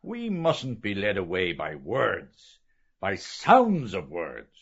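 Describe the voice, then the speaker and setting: A man's voice: low voice, huge pitch swings, takes it slowly, vocal fry, husky, heavily acted. A man reading an audiobook, voicing an older learned professor who speaks in sweeping, oratorical rhetoric. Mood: declaiming. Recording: dull lo-fi recording.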